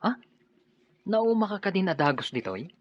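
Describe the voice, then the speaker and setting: An actor playing a breezy, nagging Filipino buddy in an Ilocano radio drama. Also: male voice, high voice, very animated, normal speed, lo-fi sound.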